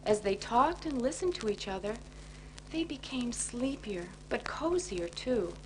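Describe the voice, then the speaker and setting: Lady reading a kids bedtime story, expressive, high voice, faint noise like an old record. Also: unhurried. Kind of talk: children's bedtime story narration. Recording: noisy 1980s children's record.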